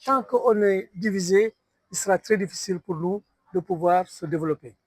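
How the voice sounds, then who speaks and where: A man's voice: high-pitched, moderate pace, dry recording. A man from Senegal, a sculptor guest in an interview, telling his story in an easygoing way with many hesitations. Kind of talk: conversational interview.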